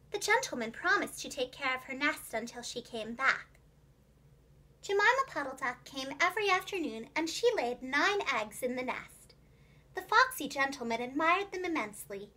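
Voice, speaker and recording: A woman narrating a children's storybook in an expressive, clearly enunciated storyteller's manner for young listeners. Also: high voice. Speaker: preschool teacher and children's storyteller.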